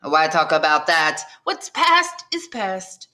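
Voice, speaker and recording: A woman's voice: fairly low pitch, very animated, slow, bright, forceful tone, heavily acted. A woman voicing a smug, grinning young male tailor in an audiobook, breezy and self-satisfied. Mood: familiar, sly. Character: smug grinning tailor neighbour, man of twenty-five. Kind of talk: audiobook character dialogue.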